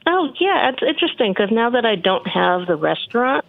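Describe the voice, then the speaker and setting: A chef from Michigan talking casually as an interview guest, relaxed and rambling, with many ums and restarts. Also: mid-range voice, fairly quick, gravelly, no echo. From Michigan, USA. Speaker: chef and cookbook author, guest. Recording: tinny phone line, dry.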